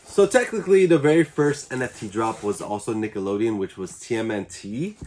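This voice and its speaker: A man's voice: on the low side, brisk, background noise. A young man with a stuffy, congested voice, chatting enthusiastically as he hosts a casual unboxing vlog. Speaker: YouTube collector channel host.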